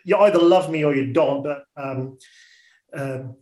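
A man's voice: medium pitch, a little slow, a crowd in the background. Blunt Dutch man in a roomy space. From Netherlands. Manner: blunt, outspoken. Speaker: interview guest, orchard business owner. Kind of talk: radio interview.

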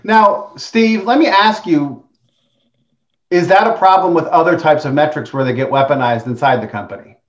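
A male host leads a panel discussion in an easy, conversational way and turns to each panelist in turn. His voice sits a little high for a man. The sound is echoey and muffled. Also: moderate pace.

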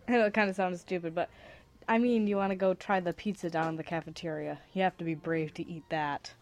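A woman's voice: on the low side, fairly even intonation, fairly quick, a little mellow, close to an everyday voice. A woman playing a moody, sarcastic, foul-mouthed college student in an amateur audio drama. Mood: playful. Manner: sarcastic, blunt, slangy.

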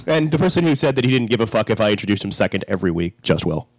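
A man's voice: lowish voice, very fast. A man hosting a podcast, sick with a cold, chatting and joking casually with friends. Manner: joking, animated, swears casually. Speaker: podcast host. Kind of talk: casual chat among friends.

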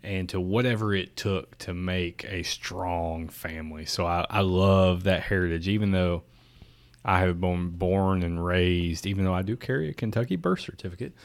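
A man's voice: sits low, moderate pace. A man in his thirties from southern Indiana, chatting casually and joking on a podcast. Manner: joking, boisterous. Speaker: podcast host. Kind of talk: casual chat.

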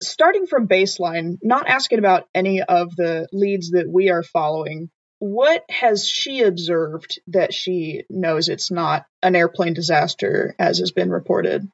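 A woman's voice: on the low side, big pitch swings, unhurried, dull audio. A woman playing a tabletop roleplaying game, speaking casually and inquisitively in character. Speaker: tabletop RPG player.

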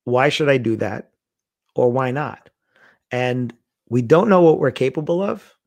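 A man's voice: mid-range voice, unhurried, creaky, no echo. A middle-aged man telling stories in a casual conversation, acting out the lines he quotes. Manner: animated storyteller, acts out quoted lines. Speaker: guest.

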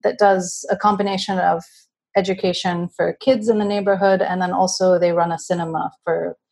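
Woman, 30s, from California, filmmaker guest, remote call audio, breathy, chatty. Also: fairly low pitch, moderate pace. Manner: relaxed, chatty, with light laughs. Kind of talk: casual interview answers.

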